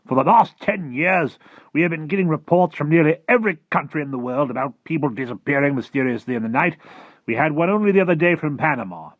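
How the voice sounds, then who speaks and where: Trigger-happy storybook general, male narrator acting: high, animated, loud military bluster, easily outraged. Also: fast, no echo, phone-quality sound. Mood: pompous reporting.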